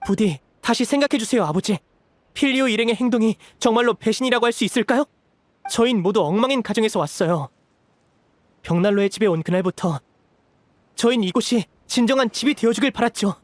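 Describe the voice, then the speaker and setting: Youthful game hero, high-pitched, sincere, courteous, impassioned, brave. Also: male voice, lively intonation, fairly quick, dry recording. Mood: earnest pleading. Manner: earnest, polite, impassioned. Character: earnest, brave young man pleading as loyal older brother. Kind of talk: dramatic game voice acting.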